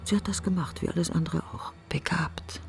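An actress playing a middle-aged, grieving, sardonic woman, thinking aloud in fragments in a radio-play monologue, often in a breathy near-whisper. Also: lowish voice, brisk, soft background music. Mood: grieving reflection. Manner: fragmented, musing, questioning, sardonic. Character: grieving, bitterly wry successful woman thinking aloud while driving.

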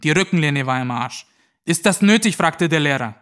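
A man's voice: fairly high pitch, lively intonation, normal speed, fairly bright tone, clear, resonant tone. An actor playing a tough, crude young gang member, telling his story in brazen slang in an audio drama. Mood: brazen, scornful. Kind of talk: narrated monologue in a German audio play.

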